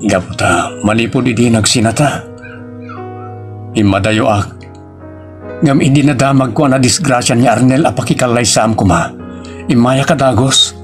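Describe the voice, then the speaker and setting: A man's voice: medium pitch, normal speed, long pauses, close to an everyday voice. A man acting in an Ilocano audio drama, playing an earnest, emotional family man with an Ilocano accent. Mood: earnest, tender. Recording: music bed under the voice.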